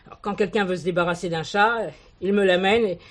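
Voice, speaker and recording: An actress playing a talkative, kindly village shopkeeper who adores cats and gossips in a confiding way in a television play. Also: female voice, lowish voice, steady tone, fast, close to an everyday voice. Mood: chatty, friendly. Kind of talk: 1970s French television play dialogue.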